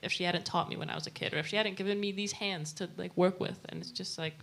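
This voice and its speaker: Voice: mid-range voice, steady tone, quick pace, creaky, a bit bright. An audience member thinking aloud in a rambling way, full of "like" and half-finished thoughts.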